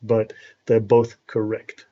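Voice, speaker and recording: A man's voice: lowish voice, monotone, slow pace, warm tone, lo-fi sound. A South African man speaking as an interview guest, explaining things in an academic, didactic way and checking that he is understood. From South Africa, born and raised in Cape Town. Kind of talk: explanatory live interview talk.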